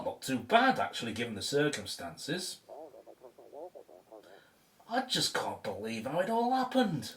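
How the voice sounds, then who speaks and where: A chatty, genteel British man plays the archaeologist with theatrical flair. He takes his time over the words, with a very lively rise and fall in pitch. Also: heavily acted. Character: chatty, genteel male archaeologist who loves motorbikes. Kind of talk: audio drama acting.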